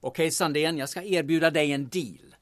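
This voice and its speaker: A man's voice: fairly high pitch, music in the background, lightly acted. An actor playing a blunt, pushy male investigator from the capital in a radio drama, curt, insinuating and threatening. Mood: serious.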